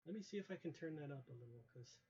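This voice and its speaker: A young man with a hoarse voice chatting casually on a livestream, rambling with many ums while telling a wrestling story over a headset mic. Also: a little high, animated, racing, warm tone. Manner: rambling, many ums and uhs, greets chat viewers. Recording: dull lo-fi stream audio with faint hiss.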